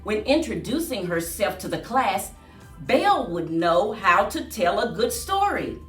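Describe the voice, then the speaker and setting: A woman reading aloud to children as a storyteller, with an expressive, singsong delivery. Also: mid-range voice, a little slow. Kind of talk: read-aloud storytime with rhyming chant. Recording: roomy echo, distant mic, faint music.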